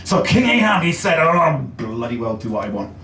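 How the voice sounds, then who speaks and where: An English man with a fairly high voice performs a stand-up set, chatty and self-deprecating, often pausing on little fillers. His voice rings around an echoey basement club and sounds a bit far from the mic. A faint room hum sits underneath. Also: big pitch swings. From England. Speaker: stand-up comedian.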